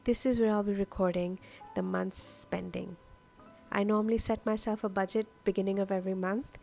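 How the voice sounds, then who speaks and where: A woman's voice: mid-range voice, gravelly, mellow. A woman whose native language is Urdu, giving a casual, explanatory voice-over as a craft vlogger walking through her journal pages. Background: music.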